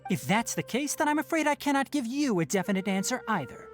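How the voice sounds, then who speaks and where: A woman's voice: fairly high pitch, expressive, quick pace, faint music behind, close to an everyday voice. A woman playing a polite but firm, guarded young male forest ranger in a video game. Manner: courteous, formal, firm.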